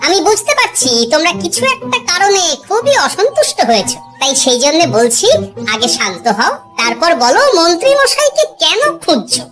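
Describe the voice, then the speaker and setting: A performer voicing a witty, outspoken male court jester with a persuasive manner in an animated cartoon. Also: very high-pitched, fairly quick, over loud music. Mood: concerned.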